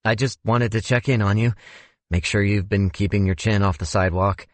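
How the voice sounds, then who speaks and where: A man's voice: fairly low pitch, fairly even intonation, fairly quick, no echo, close to an everyday voice. A man voicing a reserved young man in an audiobook, polite, understated and tentative. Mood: friendly. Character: reserved young American man, awkward amateur private detective.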